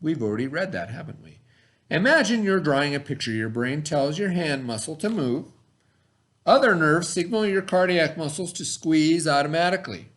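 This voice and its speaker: Animated middle-aged man reading a lesson aloud. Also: a little high. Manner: instructional, pauses to define and repeat terms. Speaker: teacher reading aloud to children. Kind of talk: read-aloud lesson with explanatory asides.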